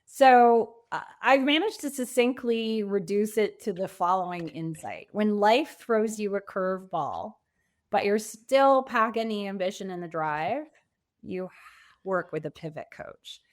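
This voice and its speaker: A woman guest on an interview podcast, speaking reflectively and articulately with emphatic pauses. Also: mid-range voice, animated. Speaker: podcast guest, pivot coach.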